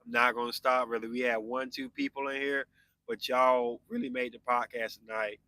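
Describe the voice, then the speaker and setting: A man's voice: medium pitch, flat delivery, normal speed, bright, forceful tone, dry recording. A man in his forties hosting a podcast, chatting casually with live viewers and answering their comments. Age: 40s. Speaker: podcast host.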